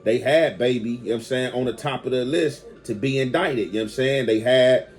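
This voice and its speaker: A man's voice: mid-range voice, brisk, soft background music. An American man hosting an online commentary video, talking casually and off the cuff in slang and often checking in with the listener.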